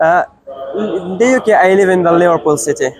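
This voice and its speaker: A young man from Afghanistan answering interview questions eagerly, with hesitant pauses and simple phrasing. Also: sits high, background noise. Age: young adult.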